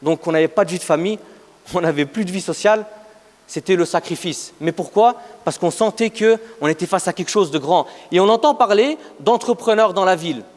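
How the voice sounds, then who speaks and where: A man in his thirties giving a motivational startup pitch on stage, emphatic, repeating phrases for effect as he tells a story. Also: fairly quick, darkish tone. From Afghanistan. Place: large event hall, on stage. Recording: echoey hall with long reverb.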